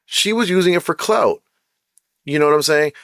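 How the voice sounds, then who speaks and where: Host, man, casual blunt rant, slightly high voice, hoarse and fading, dry close sound. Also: moderate pace, a bit bright, no room sound. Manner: blunt, emphatic, repeats points. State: losing his voice, throat-clearing. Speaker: channel host. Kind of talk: casual ranting commentary.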